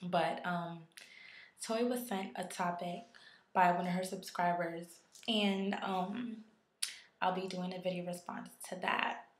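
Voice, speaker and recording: Hoarse woman vlogging in an echoey room. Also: lowish voice, steady tone, takes it slowly. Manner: conversational, reflective, with frequent ums. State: hoarse, losing her voice from illness. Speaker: YouTuber. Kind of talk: casual video response vlog.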